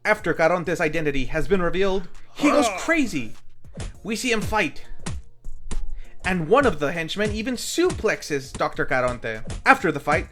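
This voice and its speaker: A man's voice: sits high, very animated, normal speed, fairly bright tone. A man narrating a scripted, comedic film recap as a reviewer, with wry joking asides. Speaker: film reviewer, video host. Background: background music.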